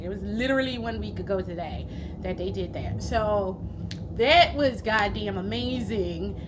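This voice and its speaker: Hoarse woman vlogger, losing her voice. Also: medium pitch. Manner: rambling, swears freely, frequent fillers. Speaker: gaming vlogger. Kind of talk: casual chat. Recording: dull lo-fi recording with steady background noise.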